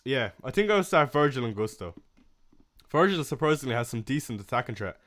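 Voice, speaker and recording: A young man with a Waterford Irish accent, hosting a casual fantasy football livestream, chatting with viewers and answering comments with plenty of fillers and soft laughs. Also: mid-range voice, big pitch swings, brisk. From Ireland. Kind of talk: casual live-stream chat reading comments.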